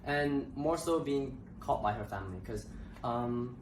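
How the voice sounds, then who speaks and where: A man's voice: mid-range voice, unhurried, background noise. A young man from Malaysia talking in a casual panel discussion, hesitant and full of filler sounds. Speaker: co-host. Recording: roomy echo, mic at a distance.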